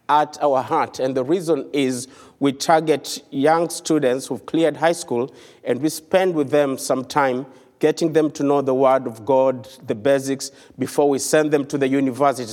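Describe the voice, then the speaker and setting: A man with a Kenyan accent speaks to a congregation at a middle pitch, earnest and conversational with a light touch of humor. His voice rings out with a long reverb through a large church hall. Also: darkish tone. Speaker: visiting missionary speaker. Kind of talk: church mission report. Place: church sanctuary.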